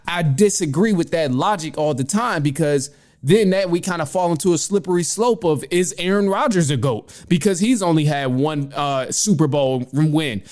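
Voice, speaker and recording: A man's voice: fairly high pitch, darkish tone. A man hosting a sports show, giving casual, opinionated commentary in informal language. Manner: informal, opinionated, mild swearing. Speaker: sports podcast host.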